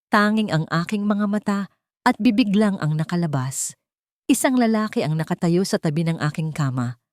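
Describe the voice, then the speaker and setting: Low-voiced woman narrating dramatically. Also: expressive, moderate pace, no room sound, clean studio sound. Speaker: audiobook narrator. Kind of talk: dramatic scripted first-person story narration.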